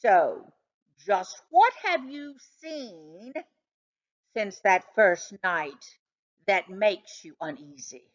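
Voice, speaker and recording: A woman reading a children's storybook as an indulgent, skeptical male dog who questions and gently chides. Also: medium pitch, animated, very slow, highs cut off. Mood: questioning. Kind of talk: children's storybook read aloud.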